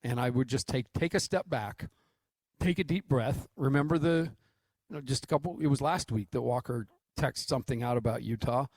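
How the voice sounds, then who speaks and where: A man with an American accent and medium pitch co-hosts a sports talk show. His delivery is casual, conversational and reassuring. The sound is dry and close. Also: moderate pace, darkish tone, no room sound. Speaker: radio co-host.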